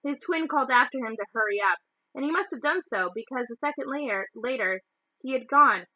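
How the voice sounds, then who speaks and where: Bright-voiced woman reading a story aloud. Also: sits high, expressive, fairly quick, no room sound, rough recording. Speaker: storybook reader. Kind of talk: children's novel read aloud.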